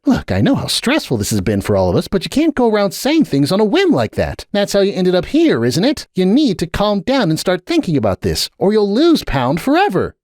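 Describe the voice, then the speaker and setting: A man's voice: high voice, huge pitch swings, fairly quick, hardly any pauses, darkish tone, dry recording, studio quality, pitched above the performer's own voice. A man voicing an earnest, somewhat awkward adult unicorn wizard who speaks carefully and apologetically in a dramatic audiobook reading. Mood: insistent. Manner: careful, apologetic, then insistent.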